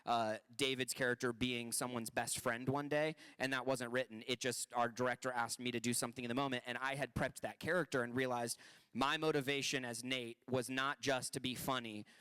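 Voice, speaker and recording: A man's voice: medium pitch, little pitch movement, brisk, a bit bright, clear, resonant tone. A man in his twenties, an actor, talking upbeat and at length on a panel, often restarting his sentences. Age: 20s. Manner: upbeat, talkative, many restarts. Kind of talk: panel talk.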